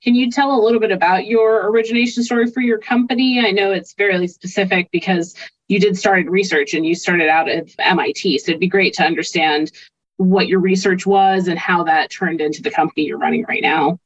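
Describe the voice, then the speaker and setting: A woman's voice: on the low side, fairly quick. A woman guest-hosting a business podcast, asking polished, upbeat interview questions. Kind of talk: scripted-sounding interview hosting. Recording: dull lo-fi audio with faint music.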